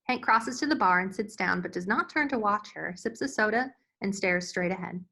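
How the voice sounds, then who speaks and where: A woman's voice: mid-range voice, moderate pace, vocal fry, pushed and bright. A woman reading scene headings and stage directions as the narrator of a screenplay table read.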